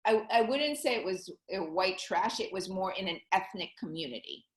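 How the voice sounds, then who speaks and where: A middle-aged woman from Connecticut, a money coach and interview guest, talking earnestly about her life in quick bursts. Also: mid-range voice, expressive, roomy sound. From Connecticut, USA. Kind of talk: conversational interview.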